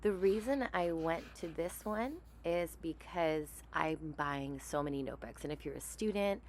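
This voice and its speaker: Raspy-voiced woman vlogger, chatty. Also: low-pitched, a bit bright, a little hiss or hum. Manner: chatty, thinks aloud, murmurs while trying features. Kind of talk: casual product demo.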